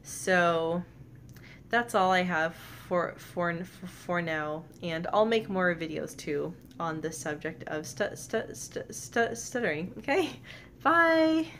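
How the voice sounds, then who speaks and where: Soft-spoken young woman who stutters. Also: lowish voice, animated, slow pace, a little hiss or hum. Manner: stutters with repeated sounds and blocks. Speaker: vlogger. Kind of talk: personal vlog talk to camera. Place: bedroom, indoors. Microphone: camera close in front.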